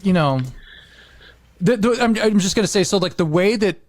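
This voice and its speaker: A man's voice: high voice, normal speed, dry recording. A man talking casually and with opinions, in the manner of a podcast host.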